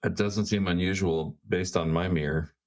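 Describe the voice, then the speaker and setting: A man's voice: low voice, steady tone, normal speed. A middle-aged man chatting casually as a player in a tabletop role-playing game. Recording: music behind the voice.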